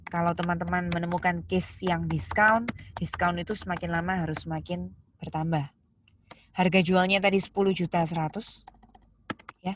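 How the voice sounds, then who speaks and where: Low-pitched woman teaching, noisy recording. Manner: didactic, asks questions and answers them, stresses key terms. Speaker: accounting lecturer. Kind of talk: step-by-step lesson explanation. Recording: clear background noise, keyboard clicks.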